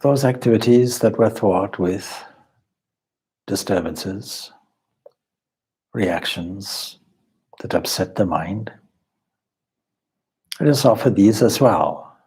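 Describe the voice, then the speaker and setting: Slow, deep, husky man guiding meditation. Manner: calm, measured, instructive with deliberate pauses. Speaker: swami leading a guided meditation. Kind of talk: guided meditation.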